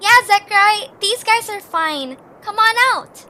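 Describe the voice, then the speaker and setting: A woman's voice: very high-pitched, expressive, measured pace, bright, forceful tone, exaggerated voice. A woman voicing a small, timid, earnest young adventurer in an audio drama. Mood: hesitant, curious. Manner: hesitant, earnest. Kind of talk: cartoonish audio drama acting. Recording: faint background noise.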